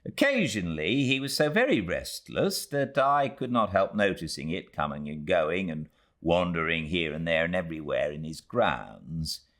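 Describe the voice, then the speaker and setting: A prim, sanctimonious middle-aged housekeeper read by a British man gives her account in an audiobook. The voice is deep, with very animated intonation and formal, proper delivery. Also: normal speed, exaggerated voice. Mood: prim, earnest. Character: prim, self-righteous middle-aged widowed housekeeper narrating her testimony. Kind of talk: classic novel audiobook narration in character.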